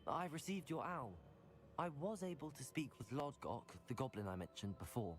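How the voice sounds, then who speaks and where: A man playing a calm, earnest teenage student wizard hero in a scripted video game. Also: medium pitch, normal speed, music in the background, lightly acted. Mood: earnest report.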